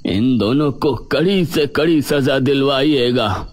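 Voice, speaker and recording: A man voicing a humble, grateful elderly village uncle in a cartoon story, speaking slowly and pleadingly. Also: medium pitch, pitched above the performer's own voice, natural voice. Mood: earnest, grateful. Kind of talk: Hindi animated story dialogue.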